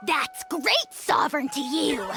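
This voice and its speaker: A woman's voice: high-pitched, sing-song, measured pace, over music, exaggerated voice. A woman voicing a haughty, self-proclaimed almighty dragon lord in a video game, declaiming grandly in the royal we. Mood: indignant, threatening. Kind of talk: video game character acting.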